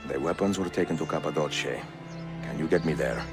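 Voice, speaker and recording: An actor playing an older Italian assassin in a video game, gruff and courteous, with an Italian accent. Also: male voice, brisk, over loud music. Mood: courteous, composed. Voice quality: deep, gravelly. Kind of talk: dramatic video game acting.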